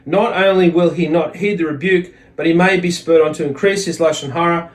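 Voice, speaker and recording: A man giving a religious lesson as a teacher, reading from a text and explaining it in a halting way, often repeating words. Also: a little high, steady tone.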